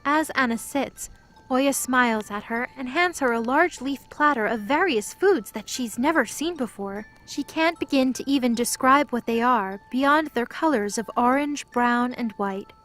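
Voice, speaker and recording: High-voiced woman narrating an audio drama. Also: measured pace, a bit bright. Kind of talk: scripted story narration.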